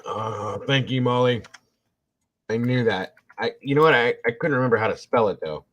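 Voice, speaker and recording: A man's voice: medium pitch, moderate pace. A man in his forties chatting casually on a livestream, reading out viewer comments and musing aloud. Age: 40s. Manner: reads out chat, chatty and musing. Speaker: livestream co-host. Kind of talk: casual live chat. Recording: dull lo-fi audio.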